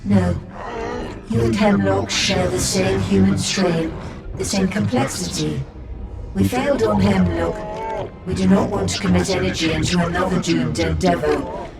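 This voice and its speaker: A man's voice: high voice, fairly even intonation, unhurried, over loud music. A man voicing a monstrous collective being that calls itself "we" and talks with cold, formal courtesy. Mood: menacing calm. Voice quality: gravelly, speaks as "we". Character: monstrous collective male abomination, coldly polite. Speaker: game villain. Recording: echoey, distant, loud score behind.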